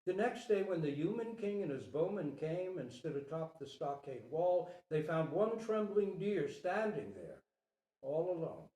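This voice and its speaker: A man tells a story theatrically and deliberately, in a mellow voice with wide swings of pitch. The recording sounds roomy, with the microphone at a distance. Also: sing-song. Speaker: storyteller, Zen teacher. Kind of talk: dramatic storybook reading.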